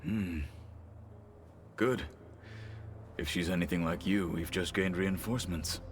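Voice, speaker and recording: Terse, proud low-voiced warrior. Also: male voice, a little slow, a little hiss or hum. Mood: probing, stern. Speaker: video game companion character. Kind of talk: game cutscene acting. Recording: music behind the voice.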